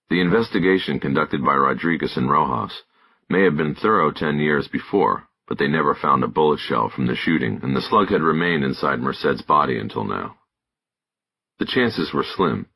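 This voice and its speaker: A man reading scripted narration of a crime thriller audiobook in a gravelly, husky voice. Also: very deep voice, fairly bright tone.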